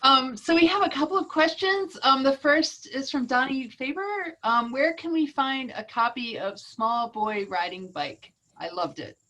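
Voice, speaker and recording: A woman's voice: high voice, unhurried, few pauses, bright, forceful tone, rough recording. A woman moderator reading out audience questions, brief and businesslike.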